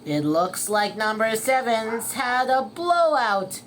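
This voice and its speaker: A woman playing an excited male sports announcer calling a cartoon race in a storybook, with a raised, projected voice. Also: fairly high pitch, slow pace, slight background noise, pitched above the performer's own voice, exaggerated voice. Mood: dramatic. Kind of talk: play-by-play race commentary.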